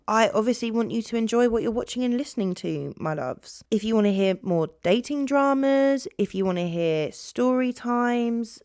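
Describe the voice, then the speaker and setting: A woman's voice: a little high, dry recording. Chatty young British woman, dry close sound. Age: 20s. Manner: chatty, animated, jumps between topics. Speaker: podcast host. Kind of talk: rambling casual solo chat to viewers.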